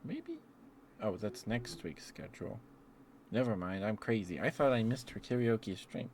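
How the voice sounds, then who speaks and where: Low-voiced man in animated, rambling ASMR chat. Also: unhurried, slight background noise. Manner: rambling, affectionate with viewers. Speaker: livestream host. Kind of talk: ASMR livestream casual chat.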